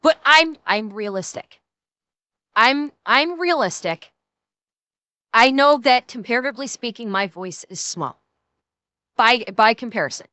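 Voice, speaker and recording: Indignant bright-voiced woman streamer. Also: a little high, animated, unhurried, long pauses, no room sound. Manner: indignant, swearing, talks to chat. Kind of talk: live stream chat with viewers.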